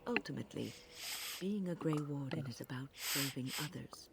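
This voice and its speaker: An actor voicing a wise, earnest mentor in a fantasy video game, speaking in a measured, solemn, lecturing way. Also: male voice, medium pitch, lively intonation, vocal fry, heavy background noise. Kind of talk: scripted video game dialogue.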